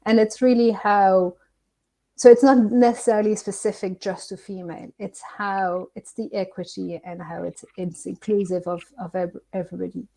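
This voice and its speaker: A woman panelist whose first language is not English, speaking haltingly on a webinar panel with many restarts. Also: medium pitch, fairly even intonation, vocal fry, dark tone, rough recording.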